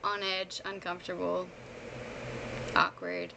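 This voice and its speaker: A woman's voice: fairly low pitch, level tone, takes it slowly, gravelly, background noise. A young woman chatting casually on a livestream in an informal, self-deprecating, talkative way. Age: young adult. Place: bedroom streaming setup, indoors.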